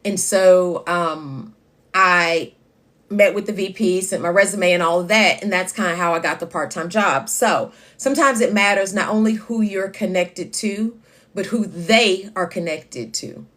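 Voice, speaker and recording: A woman, hosting a casual live chat with a loud voice that carries and reading viewer comments aloud. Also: on the low side, unhurried. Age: 50s. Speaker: livestream host.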